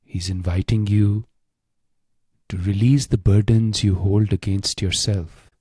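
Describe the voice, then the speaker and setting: Guy doing guided meditation, really slow and solemn, mellow husky voice, close dry mic. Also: lowish voice, steady tone, dry recording.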